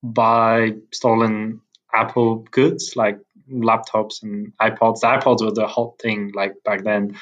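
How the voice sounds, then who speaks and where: A man's voice: on the low side, level tone, takes it slowly, a bit bright, muffled recording. A man in his thirties from Bulgaria, a native Bulgarian speaker, chatting as a podcast guest in an informal, hesitant, rambling way. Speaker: podcast guest, tech founder. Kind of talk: casual conversation.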